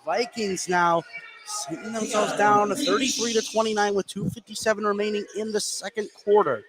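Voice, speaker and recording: A man doing live play-by-play basketball commentary for radio, getting excitable on big plays. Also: sits high, normal speed, a loud crowd. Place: indoor gymnasium during a game. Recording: noisy live gym broadcast.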